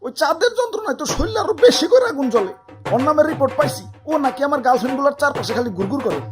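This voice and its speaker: A man's voice: very high voice, lively intonation, racing. A man with a Bangladeshi accent voicing a boastful, flirtatious, hot-tempered sun in a comic cartoon, swaggering and sarcastic. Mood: indignant. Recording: music behind the voice.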